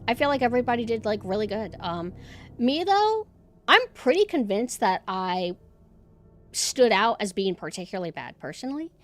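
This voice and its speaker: Monotone woman streamer chatting. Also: fairly high pitch, normal speed. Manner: rambling, self-deprecating, many fillers and restarts. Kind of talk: casual chat.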